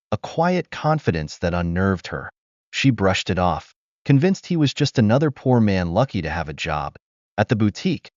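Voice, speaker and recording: A man narrating a scripted moral tale in a smooth, expressive storytelling manner. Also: lowish voice, dry recording. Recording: dull dry recording.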